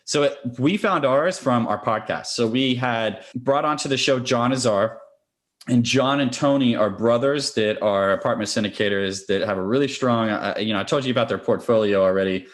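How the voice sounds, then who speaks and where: A man's voice: medium pitch, moderate pace. A man speaking as a podcast interview guest, a real estate investor explaining things conversationally and step by step.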